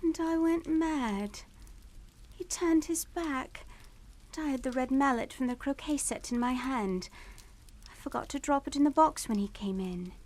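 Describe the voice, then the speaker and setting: High-voiced, timid English daughter. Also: female voice, darkish tone. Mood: tearful, anguished. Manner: halting, tremulous. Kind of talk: radio suspense drama acting.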